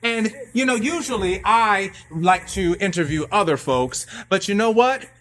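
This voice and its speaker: A man's voice: high-pitched, expressive, measured pace, fairly bright tone, pitched above the performer's own voice. A man voicing a showy, bumbling radio interviewer with repetitive, stammering patter on an improvised live show. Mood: showy, excited.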